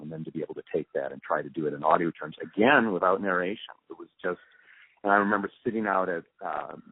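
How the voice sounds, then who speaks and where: Male interviewee, low and husky, emphatic storyteller recalling past work, narrow telephone sound. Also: dry recording. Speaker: interviewee, radio drama post-production supervisor. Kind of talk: reminiscing in an interview. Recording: muffled phone line, dry.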